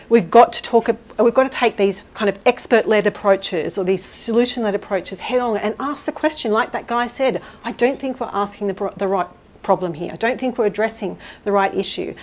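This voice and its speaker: A woman giving a conference talk and answering questions, speaking loudly and energetically in a chatty way. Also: medium pitch. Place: event hall with audience.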